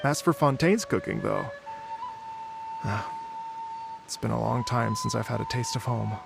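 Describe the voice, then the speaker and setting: An actor playing a polite, mild-mannered wandering musician in an anime game, earnest and a little hesitant, creaky at times. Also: male voice, medium pitch, very animated, a little slow, loud music behind the voice, full character voice. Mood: appreciative, wistful. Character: genteel, mild-mannered wandering musician, adult man, wistful storyteller.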